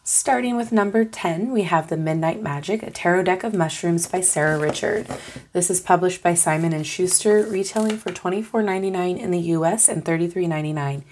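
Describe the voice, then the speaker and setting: A woman's voice: on the low side, fairly quick, darkish tone. A young adult woman hosting her own channel, chatting casually and upbeat while her voice is still strained from recovering. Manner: chatty and upbeat, bubbly enthusiasm. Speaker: tarot channel host. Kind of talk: casual vlog talk to camera.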